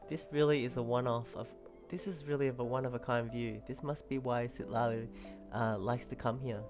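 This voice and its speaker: A woman's voice: extremely low pitch, fairly even intonation, fairly quick. A woman giving casual commentary as she plays through a video game story quest. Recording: game music behind the voice.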